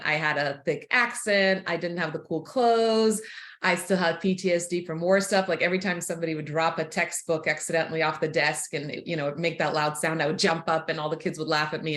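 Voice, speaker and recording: A woman in her forties from Croatia, telling her life story frankly as a podcast guest, laughing easily and going quiet on painful parts. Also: on the low side. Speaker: guest, author and speaker. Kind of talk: candid personal storytelling. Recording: roomy echo.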